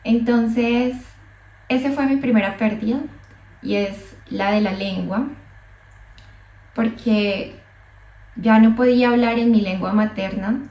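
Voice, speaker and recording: A young woman from Colombia, a psychologist, telling her own migration story slowly and thoughtfully, with hesitant restarts. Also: a little high, a little mellow, far from the mic. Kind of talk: reflective personal monologue. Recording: echoey room, voice a bit off mic.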